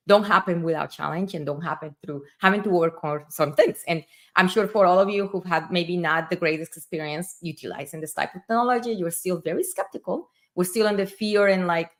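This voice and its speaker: A woman hosting a livestream, a native Spanish speaker with a Spanish accent, talking in an enthusiastic, chatty way full of fillers. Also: fairly low pitch. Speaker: livestream host. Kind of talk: casual livestream conversation.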